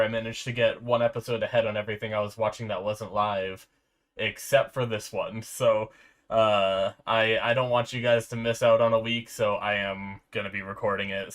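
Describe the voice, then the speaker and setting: Male reaction host, sick and congested, sore throat, rambling casual delivery with restarts. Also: mid-range voice. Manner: rambling, frequent filler restarts. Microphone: desk mic with noise filter.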